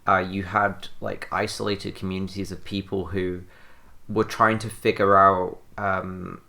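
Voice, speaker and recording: A podcast co-host in a casual group discussion, explaining things informally in a halting way that circles back on phrases. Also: low voice, flat delivery, creaky, sharp tone.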